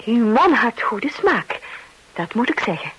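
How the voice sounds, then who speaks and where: Very breathy voice, earnest nervous young woman, highish lively pitch, hesitant phrasing, radio play. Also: full character voice, poor audio. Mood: hesitant, admiring. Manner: hesitant, halting, breaking off and repeating words. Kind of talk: Dutch-language radio play acting.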